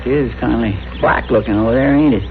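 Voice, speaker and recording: A man playing a creaky, folksy cowboy deputy. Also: mid-range voice, quick pace, natural voice. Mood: polite, chatty. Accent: American, rural Western drawl. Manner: folksy, rambling, deferential, ungrammatical speech. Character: folksy, good-natured cowboy deputy sidekick in a Western. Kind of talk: 1960s radio Western acting. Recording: dull, very noisy vintage radio recording.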